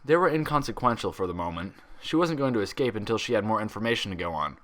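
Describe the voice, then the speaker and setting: A man reading a story aloud as an expressive narrator. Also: lowish voice, quick pace. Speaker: fan fiction reader.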